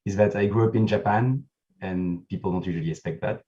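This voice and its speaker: A man with a French accent and a low voice, speaking English as a second language. The sound is muffled and dull, and he talks carefully with some uhs. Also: a little fast, dark tone, recorded from a distance. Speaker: accelerator scientist. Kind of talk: casual self-introduction.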